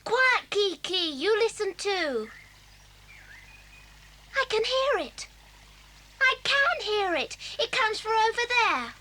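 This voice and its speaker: Over faint tape noise comes a young girl with a very high, bright voice. The girl is timid and earnest, full of plaintive questions, in the style of an eighties children's cassette drama. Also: very animated, unhurried, full character voice. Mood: hushed, eager. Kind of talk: 1980s children's audio drama acting.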